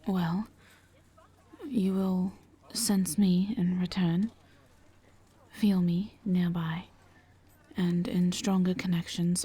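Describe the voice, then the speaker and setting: A woman playing a gentle, reserved vampire in a roleplay audio drama, speaking in a hushed, breathy voice with careful, halting, formal phrasing. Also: fairly low pitch, exaggerated voice. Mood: serious, cautious. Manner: halting, careful, formal diction without contractions. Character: gentle, reserved female vampire travelling with a knight.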